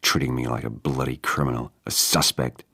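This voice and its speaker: Male narrator playing a wounded, bitter bereaved dad in an audiobook drama: very low, halting, raw-tempered. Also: fairly even intonation, vocal fry, bright, forceful tone, breathy edge, no room sound, clean studio sound, heavily acted. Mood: angry, indignant. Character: grieving, angry father, wounded and bitter.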